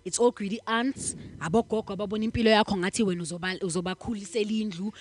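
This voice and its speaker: A young woman with a medium-pitched voice speaks her mind on a podcast, close to a stand mic in a small studio. She talks expressively and moves freely between languages. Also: rapid-fire, slight background noise. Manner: expressive, code-switching, opinionated. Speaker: podcast co-host. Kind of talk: casual chat. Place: small studio room, seated on a couch.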